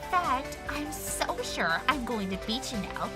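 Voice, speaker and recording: Boastful unicorn magician pony, high n super swoopy, haughty drama queen, music behind. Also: sing-song, unhurried. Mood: overconfident. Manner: haughty, melodramatic, speaks of herself in third person. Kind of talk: animated cartoon-style fan audio drama.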